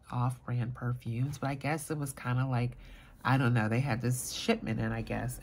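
A soft-spoken woman chatting casually as a vlogger, murmuring her thoughts quietly as she talks. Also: low voice. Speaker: fragrance vlogger. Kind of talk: casual chat.

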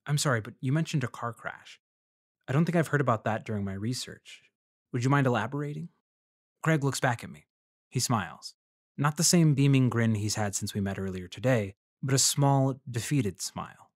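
An actor playing a polite, measured freelance journalist who narrates his investigation in a fiction podcast. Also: medium pitch, no echo, clean studio sound, close to an everyday voice. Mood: polite, careful. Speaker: narrator and lead character.

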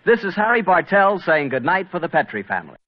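A man announcing in old-time radio style, smooth and folksy with a lively, rising and falling delivery. His voice comes through a dull, muffled broadcast sound. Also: high-pitched, fast talker.

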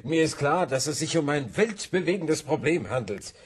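A man's voice: on the high side, lively intonation, moderate pace, slightly rough. A man playing an irritable, haughty student in a German radio drama, with a snappish, sarcastic manner. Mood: irritated, sarcastic.

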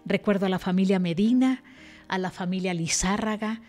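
A woman's voice: medium pitch, moderate pace, a little mellow. Earnest older woman teaching over music. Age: older, about 60. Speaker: religious teacher presenting a scripture lesson. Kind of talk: devotional scripture lesson to camera. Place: indoor room, seated at a desk. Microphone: desk microphone. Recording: music playing behind the voice. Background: background music.

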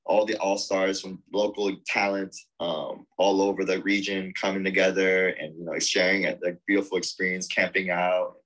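A man's voice: sits low, flat delivery, moderate pace, few pauses, fairly bright tone, rough recording. A man in his thirties, a DJ and producer, talking enthusiastically over a video call from a small home studio, rambling with many fillers. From United States. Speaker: techno DJ and producer. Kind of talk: casual video call interview. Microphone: laptop or webcam on video call.

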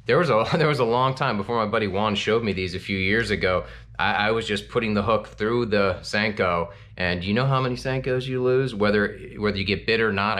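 A relaxed man in his thirties talks through his fishing gear in a loose, rambling way, full of ums and false starts. His voice sits a little low. He is recorded indoors in a small home office, speaking to a desk camera. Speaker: fishing channel host. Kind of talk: casual gear talk to camera.

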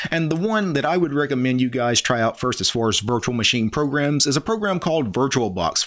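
An American man in his forties, a tech YouTuber calmly talking viewers through a software install step by step, recorded at a home studio desk on a boom-arm studio mic. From United States. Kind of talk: screen-recorded software tutorial. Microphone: large studio mic on boom arm.

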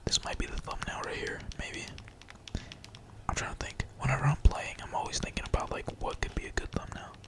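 A man whispering casual, slangy and sweary ASMR gaming commentary in a breathy, playful mutter, as a streamer talking to viewers. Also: a little fast. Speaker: gaming streamer, channel host.

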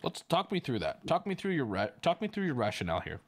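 Lively-pitched joking male streamer. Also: fast. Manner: joking, teasing, loud outbursts. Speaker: livestream host. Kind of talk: casual stream chat.

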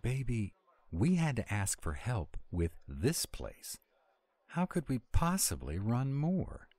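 A man playing a grumpy, self-doubting were-dragon cook in a roleplay audio drama, gruff but tender and grumbling reluctantly. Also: mid-range voice, wildly swooping pitch, slow, a little mellow, no room sound, full character voice. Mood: anxious, incredulous.

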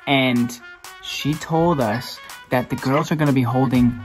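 A man's voice: mid-range voice, a little slow, soft background music. A young man talking in a scheming, conspiratorial way over a video call.

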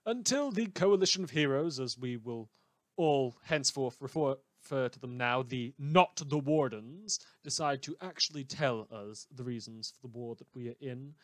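A man playing an unhinged, sardonic radio host who delivers halting, wordy monologues in a theatrical manner. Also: mid-range voice, no room sound, lightly acted. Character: rambling, unhinged male radio host in a superhero world. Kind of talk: satirical radio news monologue.

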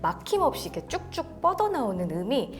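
A woman's voice: a little high, very animated, brisk. A woman co-hosting a product review, speaking conversationally with an attentive, enthusiastic manner. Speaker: co-host of audio equipment review.

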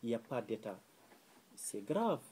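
A young man from Mauritania speaking at a round table in a small room, earnest and measured, pausing often with fillers as he thinks. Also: medium pitch, big pitch swings, darkish tone, husky. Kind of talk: public talk at a round table. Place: small indoor room with pale walls.

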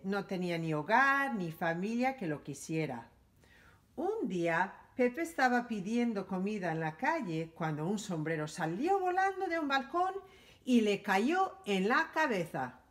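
Animated woman reading a picture book. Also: mid-range voice, measured pace. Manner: animated, sing-song storytelling. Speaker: library storytime reader. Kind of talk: children's picture book read-aloud.

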